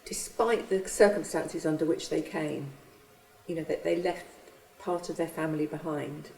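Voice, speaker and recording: Gravelly woman, roomy and distant. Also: deep voice, fairly even intonation, darkish tone. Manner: earnest, halting with restarts. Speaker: church member and refugee host. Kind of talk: reflective testimony.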